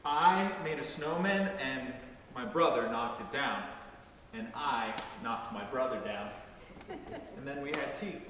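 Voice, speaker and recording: A man's voice: mid-range voice, takes it slowly, a bit bright, distant mic, slight background noise. A man reading as a small boy who chats matter-of-factly about the snow, in a dramatic reading. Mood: chatty. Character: small boy remembering snowy fun. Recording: echoey hall, voice from afar.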